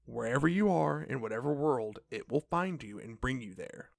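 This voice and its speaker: A courteous cafe owner, heavily creaky voice. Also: male voice, mid-range voice, animated, normal speed, no room sound. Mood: serious, explanatory. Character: polite, earnest cafe owner in a magical world. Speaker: voice actor in a fiction podcast. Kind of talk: audio drama dialogue.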